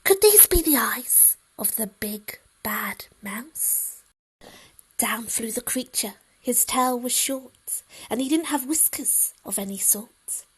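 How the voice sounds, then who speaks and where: A woman reading a children's story aloud in an expressive, dramatic way, often hushed. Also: fairly high pitch, breathy edge, low-quality recording. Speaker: storybook reader.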